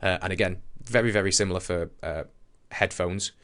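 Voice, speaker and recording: A man with a low voice gives an informal science lesson. He explains things conversationally, as a teacher does when thinking aloud through a demonstration. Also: fairly even intonation, brisk, a bit bright.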